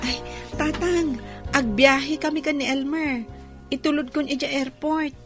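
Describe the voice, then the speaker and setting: A woman's voice: sits high, steady tone, a little slow, over music. An actress playing a patient, devoted young Filipina village woman in a radio drama, with an Ilocano accent. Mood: affectionate.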